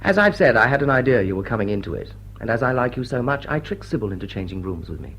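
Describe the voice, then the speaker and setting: A dashing, self-satisfied gentleman in a period radio drama. His voice is husky and moves with very lively intonation. He speaks with urbane, teasing politeness. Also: mid-range voice, quick pace, heavily acted. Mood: smug, playful. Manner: urbane, smug, drawling politeness. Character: suave, teasing gentleman host, a dashing lord. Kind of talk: classic stage comedy radio drama acting.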